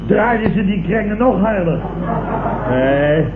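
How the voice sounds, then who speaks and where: A blustering Dutch building contractor in an old radio comedy, grumbles sarcastically and full of self-importance. His voice sits a little high, on a thin, echoey recording. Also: lively intonation, slow pace. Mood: indignant. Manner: grumbling, ranting, sarcastic, colloquial. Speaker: actor in a radio comedy serial. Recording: tinny, hissy old radio recording, roomy.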